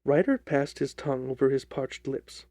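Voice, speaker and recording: A man narrating a story as an audiobook reader, in a measured, deliberate, dramatic style. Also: medium pitch, dark tone, no echo.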